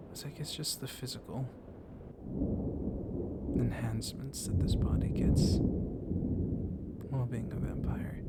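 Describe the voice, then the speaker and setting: A man voicing a civil, softly dominant, centuries-old vampire librarian in an ASMR roleplay, hushed and often slipping into whispers. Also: medium pitch. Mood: calm, explaining. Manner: hushed, breathy, often whispering, teasing with soft chuckles. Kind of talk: ASMR roleplay, intimate one-sided dialogue. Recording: noisy storm ambience behind voice. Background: thunder and steady ambient noise.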